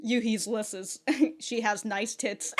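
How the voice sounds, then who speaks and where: A woman's voice: a little high, slow. A woman giving casual, sweary, giggly reaction commentary as she hosts a reaction video. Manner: giggly, often breaking into laughter.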